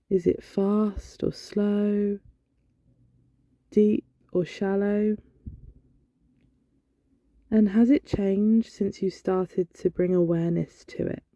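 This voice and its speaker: A woman leading a guided body scan meditation in a gentle, soothing, instructional manner. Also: medium pitch. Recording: dull, lo-fi, roomy echo.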